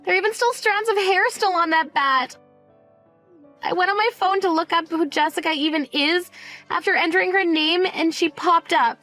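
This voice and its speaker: A woman's voice: very high voice, moderate pace, sharp tone, faint music behind, pitched above the performer's own voice. A woman playing a frightened teenage daughter, whiny and pleading, in a dramatized story. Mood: alarmed, tattling.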